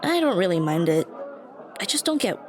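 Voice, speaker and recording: A woman's voice: fairly low pitch, very animated, moderate pace. A woman playing a shy, anxious young stranger who rambles hesitantly and self-consciously to the listener in an ASMR roleplay. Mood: shy, grateful. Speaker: roleplay voice actress. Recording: busy cafe chatter behind voice. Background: coffee shop crowd murmur.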